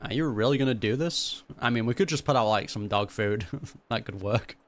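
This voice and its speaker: A man's voice: fairly low pitch, normal speed. A man giving casual reaction commentary over gameplay as a content creator, with slangy, wry asides.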